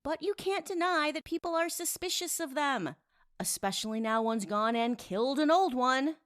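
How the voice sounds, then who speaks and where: A woman's voice: sits high, expressive, moderate pace, fairly bright tone, no echo, clean studio sound. A woman acting a blunt, gossipy cafe customer in a fantasy audio drama. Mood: blunt, defensive. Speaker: voice actor in a fiction podcast.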